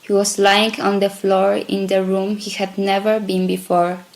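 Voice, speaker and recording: A woman's voice: mid-range voice, level tone, a little slow, gravelly, rough recording. A young female student reading scripted narration for a school radio play. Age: child or teenager.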